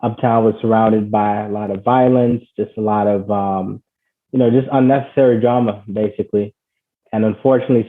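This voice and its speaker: A man from Ghana, a guest on a call, telling his life story in an earnest, conversational way, full of "you know" and "basically.". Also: on the low side. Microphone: call audio. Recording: tinny phone-like call audio.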